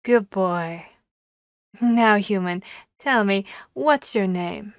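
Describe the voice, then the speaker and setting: A woman voicing a harpy seeking a human mate, commanding yet courteous and bashful, speaking formally and deliberately with hesitant pauses in an ASMR roleplay. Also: medium pitch, dry recording, studio quality. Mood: commanding, teasing.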